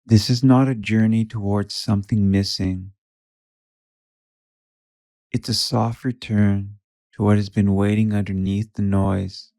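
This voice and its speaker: A man leading a guided meditation in a soft, soothing manner, leaving long pauses between short phrases. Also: lowish voice, little pitch movement, no echo, clean studio sound.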